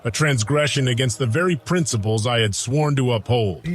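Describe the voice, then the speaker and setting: A man voicing a brooding, lovestruck ancient god in a dramatic audiodrama monologue, speaking gravely and formally in measured phrases with weighty pauses. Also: mid-range voice, slight background noise, close to an everyday voice. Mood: solemn, wistful. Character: lovestruck, brooding Anunnaki god, solemn and defiant. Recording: music behind the voice.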